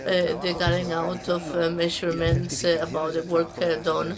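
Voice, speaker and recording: A woman interpreting a conference talk into English, speaking haltingly with many drawn-out "uh" fillers between words. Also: lowish voice, monotone, crowd noise.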